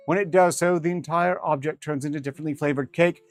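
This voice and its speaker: A man's voice: on the high side, steady tone, fast. A man reading fiction aloud as a streamer, with dry, rambling asides in formal wording. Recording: music behind the voice.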